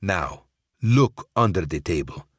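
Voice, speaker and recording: A man voicing a cold, menacing Russian crime boss who gives slow, commanding threats. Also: sits low, expressive, husky, dry recording, clean studio sound, heavily acted.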